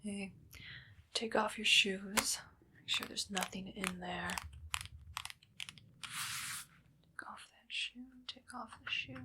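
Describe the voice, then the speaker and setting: A woman playing a menacing, irritable evil scientist in an ASMR roleplay, whispering bossy orders and deadpan threats with a breathy, creaky edge. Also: fairly low pitch, background noise. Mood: bossy, suspicious.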